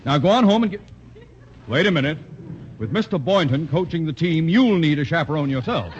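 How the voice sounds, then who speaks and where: An actor playing a pompous, bossy middle-aged school principal in a 1940s radio sitcom. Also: male voice, fairly high pitch, animated, fairly quick, slight background noise, natural voice. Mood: blustering. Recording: dull lo-fi vintage radio broadcast.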